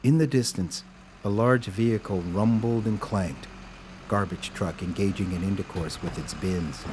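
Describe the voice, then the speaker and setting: A man's voice: sits low, a little slow, darkish tone, a little hiss or hum. A man reading scripted story narration as the narrator of an audio drama.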